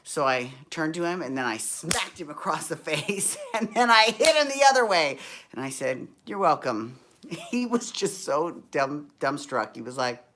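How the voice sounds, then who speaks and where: A man from the United States hosting a casual recap vlog from a hotel room, his voice deep and tired from a long day on stage, talking in a sassy, rambling way with many asides. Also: sing-song, moderate pace, bright, forceful tone, slight background noise. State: tired, deepened voice. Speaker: show host.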